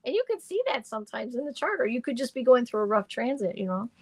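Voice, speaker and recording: A middle-aged woman doing live astrology and tarot readings for viewers, rambling and chatty with lots of fillers, her voice slightly hoarse and deepened. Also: very animated, fast, a little mellow, no echo.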